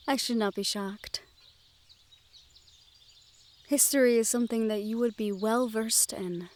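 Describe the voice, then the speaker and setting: A woman playing a gentle, well-bred young noblewoman in a fantasy audio roleplay, speaking in polite, measured phrases directly to the listener. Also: a little high, steady tone, a little hiss or hum. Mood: curious, teasing.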